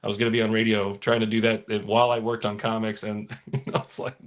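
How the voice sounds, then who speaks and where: A man's voice: fairly low pitch, completely flat delivery, fast. A man in his thirties, a comic book artist guest, talking in a rambling way with frequent laughs in a casual interview. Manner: rambling, laughing between phrases.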